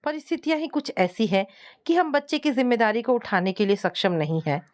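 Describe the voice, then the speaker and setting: A woman's voice: a little high, expressive, a little fast, close to an everyday voice. A woman voicing an irritable, suspicious, controlling husband in a narrated domestic story, scolding and dismissive. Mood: firm refusal.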